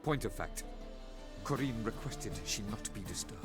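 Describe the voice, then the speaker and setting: An actor playing a fussy, proud banker in a fantasy video game, with formal, pedantic speech. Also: male voice, on the low side, lively intonation, measured pace, music in the background. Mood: wary, haughty.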